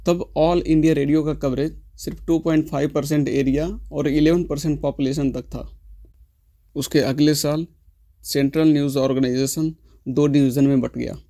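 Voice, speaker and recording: A man delivers scripted explainer narration in a slightly high voice with a mellow, soft-edged tone. He keeps an informational manner throughout and drops English terms into his sentences. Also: steady tone, normal speed. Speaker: host of a tech history explainer channel.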